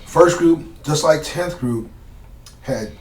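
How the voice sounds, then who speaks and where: A man's voice: a little high, slow, recorded from a distance, a little hiss or hum. A man talks in a loose, easygoing way, full of slang, fillers and asides. He sits a little back from the microphone in a roomy space, and the room's echo colours his voice. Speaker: former Green Beret guest. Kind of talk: casual storytelling interview. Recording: roomy sound from off mic.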